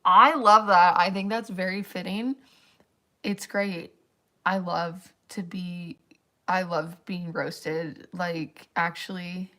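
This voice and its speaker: Raspy young woman, tired late night stream voice, rambly and chatty, lots of fillers. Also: fairly low pitch, fairly even intonation, slow pace, pushed and bright. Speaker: livestreamer. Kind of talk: late-night casual stream chat, reading chat aloud.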